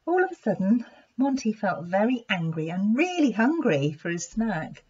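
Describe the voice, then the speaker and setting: A woman reading a children's story aloud in an expressive, deliberate storytelling manner. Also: medium pitch, breathy edge. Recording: dull lo-fi sound.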